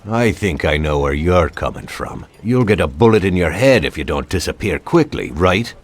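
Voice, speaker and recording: Gruff cop, low voice, blunt and wary, swings his tone a lot. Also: big pitch swings, normal speed, heavily acted. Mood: stern. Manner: blunt, tough street talk. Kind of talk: video game cutscene acting.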